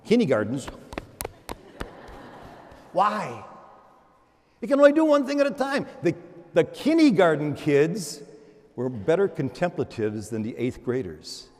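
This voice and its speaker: Priest preaching in an echoing church. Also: male voice, a little high, unhurried, pauses often. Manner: animated, swings from loud exclamations to hushed murmurs; repeats words and restarts, conversational preaching. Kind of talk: sermon. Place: large church. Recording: long church reverb.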